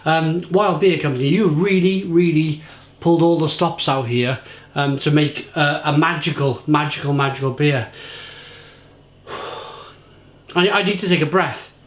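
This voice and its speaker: A man talks a beer through to camera with rambling enthusiasm, circling back and repeating himself. His voice sits a little high and has gone slightly croaky. The room around him gives a noticeable echo. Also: a little slow, pauses often. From England. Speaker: beer reviewer. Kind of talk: casual tasting review to camera.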